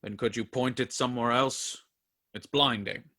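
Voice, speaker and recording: A man's voice: medium pitch, steady tone, moderate pace, sharp tone, close to an everyday voice. A man acting a grumpy, sardonic old miser in a community radio play, with dry grumbling complaints.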